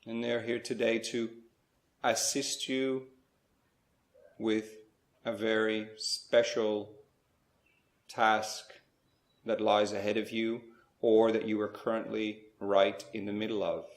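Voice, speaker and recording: A man leading a slow guided spiritual meditation, speaking in measured short phrases with pauses between them. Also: on the low side, level tone, roomy sound.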